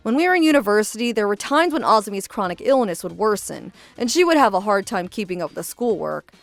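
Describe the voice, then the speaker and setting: A woman voicing a calm young office worker narrating. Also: medium pitch, fast, gravelly, no room sound. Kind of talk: manga dub narration and dialogue.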